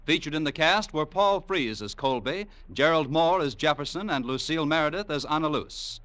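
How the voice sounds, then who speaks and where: A man's voice: a little high, expressive, normal speed, a bit bright. An American man reading a scripted announcement in the style of 1940s network radio. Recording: old 1940s radio broadcast, music.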